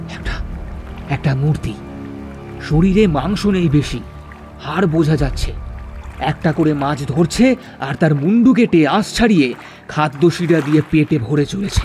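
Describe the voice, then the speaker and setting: High-voiced man narrating horror. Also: mellow. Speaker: storyteller. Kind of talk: dramatic scripted horror narration.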